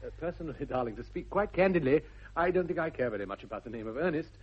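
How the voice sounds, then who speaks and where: Husky, fast-talking young gentleman suitor. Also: on the high side, lively intonation. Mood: flustered, smitten. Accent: upper-class English. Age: young adult. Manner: earnest, flustered, polite. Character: nervous, lovestruck young English gentleman suitor. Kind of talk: 1960s BBC radio comedy acting. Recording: dull old radio recording.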